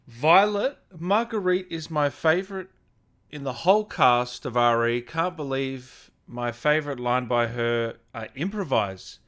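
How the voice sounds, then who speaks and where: A man's voice: mid-range voice, measured pace, fairly bright tone. A man hosting an interview in a casual, informal way, chatting and reading out viewer questions. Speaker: interview host.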